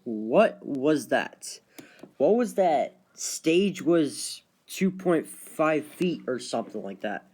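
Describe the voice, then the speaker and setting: A man's voice: on the high side, big pitch swings, slow, darkish tone. A man chatting casually as a video creator, with informal, hesitant and repetitive phrasing. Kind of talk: casual chat, reacting to radio channels.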